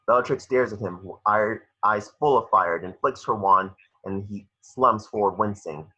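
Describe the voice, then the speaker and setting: A man with a lowish, very sharp and forceful voice, slightly husky. He reads stage directions as scripted narration, measured and dramatic, in short phrases. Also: dull audio. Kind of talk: scripted narration for a table read.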